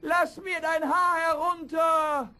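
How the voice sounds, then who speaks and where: A fake old witch call for a fairy tale, super shrill, really slow. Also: level tone, sharp tone, exaggerated voice. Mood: shouted falsetto. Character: young prince mimicking an old sorceress's call. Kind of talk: fairy-tale audio drama.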